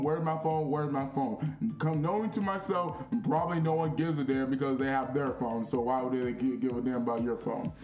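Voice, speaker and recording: A young man vlogging in a casual, animated, slangy storytelling way, repeating phrases for emphasis in a small bedroom. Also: fairly high pitch, echoey room, dull audio. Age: young adult.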